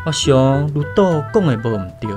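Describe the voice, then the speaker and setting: Man playing thoughtful islander telling his story, taiwanese accent, medium pitch, faint guitar underneath. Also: lively intonation, moderate pace, natural voice. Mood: reflective, warm. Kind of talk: first-person radio drama narration. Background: faint guitar music.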